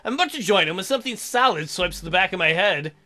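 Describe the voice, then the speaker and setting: High-pitched, bright-voiced man joking while reading. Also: animated, fast talker. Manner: irreverent, crude joking asides, theatrical outbursts. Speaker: let's play host. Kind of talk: reading a visual novel aloud with joking commentary.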